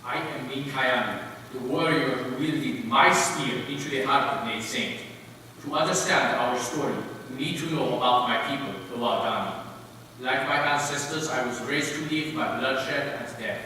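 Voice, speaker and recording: A man's voice: mid-range voice, normal speed, pushed and bright, lightly acted. A man playing an intense jungle warrior recalling a violent boyhood, declaimed in a readers' theater recital. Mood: grave. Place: large indoor recital hall, distant microphone. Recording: very echoey hall, distant, faint noise.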